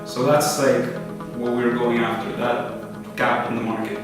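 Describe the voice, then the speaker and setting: A young man from Peru, a startup founder, answering interview questions in a relaxed, thoughtful way with many fillers. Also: mid-range voice, a little slow. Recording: echoey room, far from mic, music behind.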